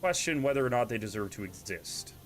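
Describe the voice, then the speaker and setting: A man's voice: mid-range voice, animated, brisk, soft background music. A man with a stuffy, congested, slightly nasal voice, chatting casually and self-deprecatingly while streaming a game. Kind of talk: casual live-stream chat while gaming.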